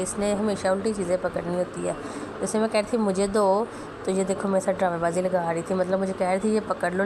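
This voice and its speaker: A young woman with a sore, hoarse throat, chatting fondly as a family vlogger about her little daughter, often clearing her throat. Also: mid-range voice. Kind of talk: casual voice-over narration. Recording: voice with clear background noise.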